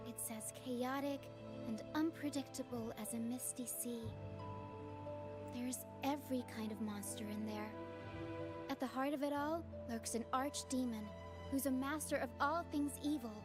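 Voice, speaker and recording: High-voiced, curious young adventurer girl for a video game, theatrical delivery over prominent music.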